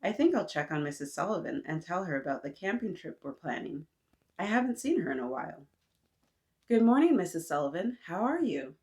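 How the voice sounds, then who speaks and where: A woman's voice: lowish voice, sing-song, brisk, a little mellow. A woman voicing a friendly, busy young girl in a picture book, polite, chatty and earnest. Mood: cheerful, polite.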